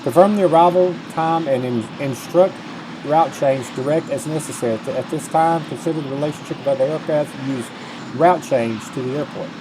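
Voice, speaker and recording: A man's voice: a little high, fairly quick, background noise. A middle-aged man, casually commentating on gameplay and reading instructions aloud. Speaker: gaming video host. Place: indoors at a computer, webcam.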